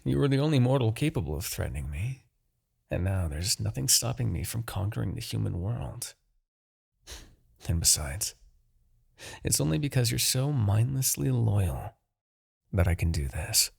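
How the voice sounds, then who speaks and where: A man playing a smug, menacing demon king, obsessed with his rival, in a theatrical, deliberate fantasy roleplay monologue with a husky, gravelly voice. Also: fairly low pitch, sing-song, darkish tone, full character voice. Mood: wistful, condescending. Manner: theatrical, gloating, deliberate, grandiose.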